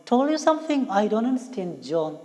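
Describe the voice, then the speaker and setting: A woman reading the part of an upright older father who questions his son emphatically and deliberately in a play. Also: medium pitch, sing-song, warm tone, pitched above the performer's own voice, lightly acted. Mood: puzzled, challenging. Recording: long hollow room reverb.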